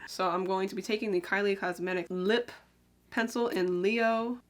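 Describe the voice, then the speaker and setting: A young woman talks to camera at home while applying makeup, loose and rambling, filling pauses with "like" and "um". Her voice sits at a middle pitch and drops softer whenever she leans in to work, then lifts again as she explains. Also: steady tone. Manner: chatty, rambling, lots of "like" and "um". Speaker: beauty vlogger. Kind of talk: casual get-ready-with-me makeup chat.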